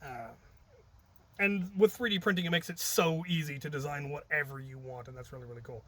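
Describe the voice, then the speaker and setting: A man's voice: fairly high pitch, a little fast, fairly bright tone. A man telling stories in a casual, rambling vlog style, thinking aloud with a jokey, self-deprecating manner. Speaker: hobby video creator and host.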